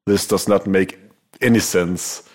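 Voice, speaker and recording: A man's voice: sits low, fairly even intonation, unhurried. A man with a Danish accent co-hosting a podcast, reading passages aloud and joking dryly. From Denmark. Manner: dry, wry, laughs often. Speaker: podcast co-host. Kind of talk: casual chat, reading book passages aloud.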